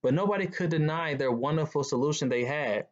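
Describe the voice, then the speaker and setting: A man's voice: on the high side, fairly quick. A man reading a children's picture book aloud as a guest reader. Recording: dull, lo-fi sound.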